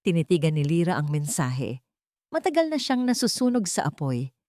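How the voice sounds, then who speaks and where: A woman's voice: low voice, very animated, moderate pace, dark tone, dry recording, clean studio sound. A woman reading scripted dramatic narration as a storyteller.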